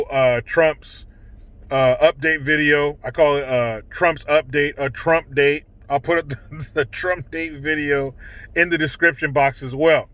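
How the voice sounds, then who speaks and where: Bright, blunt man giving opinionated commentary. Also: on the high side, dry recording. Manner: blunt, indignant, profane. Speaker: host of a political commentary vlog.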